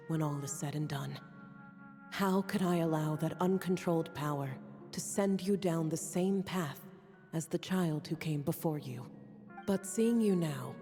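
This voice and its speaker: A woman voicing a cool, calculating mature alchemist in a video game, measured and formal. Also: fairly low pitch, warm tone. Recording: long reverb, music underneath.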